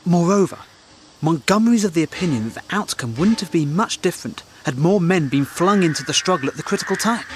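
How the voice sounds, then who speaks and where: Guy playing polite english war reporter, lightish higher voice, wry n earnest, radio drama, bit of bg noise. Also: lively intonation, a little fast, lightly acted. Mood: earnest, probing. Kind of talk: period audio drama acting.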